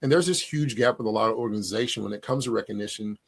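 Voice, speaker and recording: A man's voice: lowish voice, gravelly, breathy edge. Upbeat male interview host. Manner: upbeat, quick, loose phrasing with fillers. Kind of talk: casual interview hosting.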